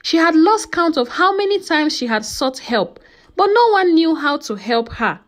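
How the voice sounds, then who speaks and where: High, animated woman storyteller. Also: normal speed. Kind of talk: scripted dramatic storytelling narration of a folktale.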